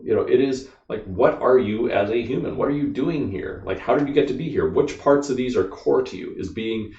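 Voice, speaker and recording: A man's voice: mid-range voice, lively intonation, a little fast, vocal fry, a little mellow, distant mic. An American man, a podcast co-host, explaining ideas at length in casual discussion, full of "like" and "you know.". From United States. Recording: dull, echoey room, off-mic.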